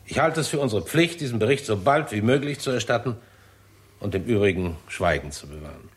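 A dutiful male government official played by a man in a sixties German radio play. His voice is fairly low, and he chooses words with stiff, measured tact. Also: expressive, natural voice. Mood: stern, official. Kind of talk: 1960s German radio drama acting.

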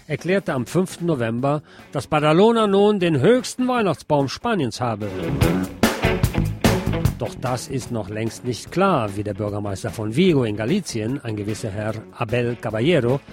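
A man's voice: medium pitch, a little mellow. A man presenting on radio, reading scripted local news and commentary.

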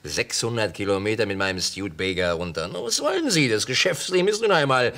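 A man's voice: on the low side, big pitch swings, fast talker, bright, forceful tone, exaggerated voice. An actor playing a middle-aged travelling salesman in a radio play, naive, hearty and self-satisfied. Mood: boastful, breezy. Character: jovial, boastful travelling textile salesman, naive and sentimental. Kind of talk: German radio play acting.

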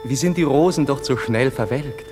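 A man's voice: medium pitch, moderate pace, in a large hall, over music, close to an everyday voice. An actor playing a passionate young nobleman in love, declaiming lyrically in a classical verse radio drama. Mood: tender, lamenting. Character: ardent, lovelorn young nobleman, defiant suitor.

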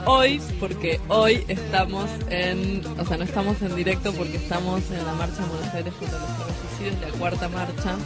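A woman's voice: fairly low pitch, fairly even intonation, normal speed, few pauses. A woman with a Rioplatense accent co-hosting a casual radio chat. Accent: Rioplatense Spanish. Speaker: radio show co-host. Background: music.

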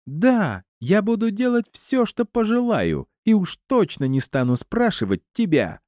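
Man putting on a shrill, spiteful villainess. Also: huge pitch swings, slow pace, mellow, no echo, clean studio sound, exaggerated voice. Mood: shrieking, defiant. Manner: shrill, taunting, haughty. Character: spiteful haughty ex-wife, shrieking villainess.

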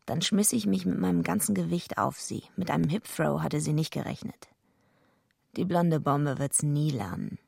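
A husky, heavily creaking tough wrestling villainess tells her story in a radio play. She is blunt and deadpan, a gruff loner with no time for niceties. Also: sits low, normal speed, dry recording, clean studio sound, exaggerated voice. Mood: deadpan, scornful. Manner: blunt, deadpan first-person narration. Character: tough, gruff female wrestler villain and lonely night watchwoman. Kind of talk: radio play narration and dialogue.

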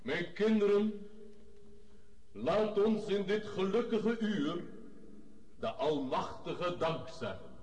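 Solemn patriarch in echoing hall. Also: male voice, high voice, expressive, takes it slowly, long pauses, a crowd in the background. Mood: reverent, joyful. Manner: reverent, intoning. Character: solemn, devout Orthodox patriarch leading prayer. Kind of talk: ceremonial radio drama oratory. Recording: echoey hall, distant, with noise.

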